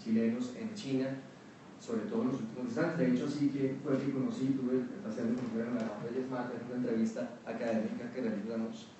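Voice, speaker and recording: A Colombian man with a mild, fairly neutral accent presents research at a panel, measured and hesitant, often pausing with fillers. His voice is dark and mellow, picked up from a distance. The big hall echoes heavily around him over a faint hum of room noise. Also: mid-range voice. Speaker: panelist at an academic round table. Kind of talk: academic conference talk. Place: conference hall.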